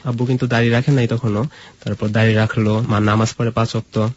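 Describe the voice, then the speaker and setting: A man's voice: fairly low pitch, little pitch movement, quick pace, dull audio, a little hiss or hum. A man from Mymensingh in Bangladesh, an interview guest telling personal stories in a conversational way. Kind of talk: personal storytelling in casual conversation.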